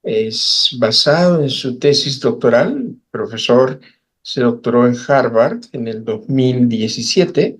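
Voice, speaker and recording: A man's voice: mid-range voice, husky. A man introducing a guest speaker at an online seminar, with a formal, hesitant delivery over a video call. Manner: slow, hesitant, with fillers. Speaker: seminar moderator.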